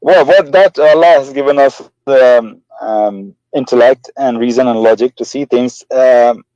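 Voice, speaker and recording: A man's voice: mid-range voice, a little slow, warm tone, dry recording, dull audio. A man calling into a heated online debate, defending his view in an interrupting and repetitive way. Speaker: debate caller.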